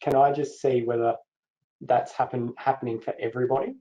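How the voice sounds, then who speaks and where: A man co-presents a legal webinar, explaining each point in a steady, orderly way. His voice is mellow and mid-pitched. The audio is dull and echoey, as on a video call. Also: fairly quick. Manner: steady, explanatory, moderates the session.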